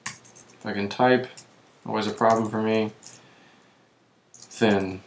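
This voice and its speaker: A man with a voice that is giving out, who clears his throat often and keeps correcting himself while walking through software on screen. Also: lowish voice, fairly even intonation, slow pace, echoey room. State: losing his voice. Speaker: web tutorial presenter. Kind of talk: screen-recorded software walkthrough.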